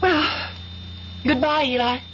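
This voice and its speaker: An actress playing a vengeful, unhinged young woman in a 1970s radio drama, slow and taunting in her menace. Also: high-pitched, a bit bright. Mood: cold farewell. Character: vengeful, unhinged young woman, eerily calm then frantic. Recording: dull, noisy 1970s radio recording.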